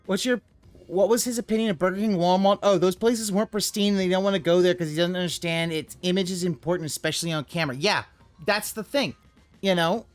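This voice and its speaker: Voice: mid-range voice, fairly quick. Profane ranting streamer, faint music behind. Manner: ranting, profane, swings into loud yelling. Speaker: streamer reacting to a video. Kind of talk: live reaction commentary.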